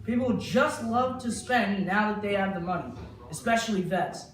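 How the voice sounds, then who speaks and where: A man's voice: sits high, normal speed, a little hiss or hum. A young man presenting a mock 1950s newscast as its anchor, with a hammy, folksy delivery. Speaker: news anchor. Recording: echoey room with music behind.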